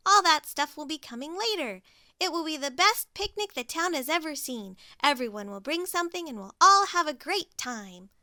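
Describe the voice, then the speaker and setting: A woman voicing a cheerful, boastful, carefree pig who talks in excited exclamations. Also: sits high, moderate pace, no echo, studio quality, heavily acted.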